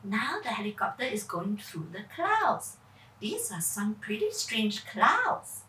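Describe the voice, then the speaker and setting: A woman storyteller reads for children from a little way off in an echoey room. Her delivery is slow and theatrical, drawing young listeners in with questions. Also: medium pitch, sing-song, pushed and bright. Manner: theatrical, puts questions to child listeners, vocal sound effects. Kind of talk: dramatic children's story reading. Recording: echoey room, far from mic.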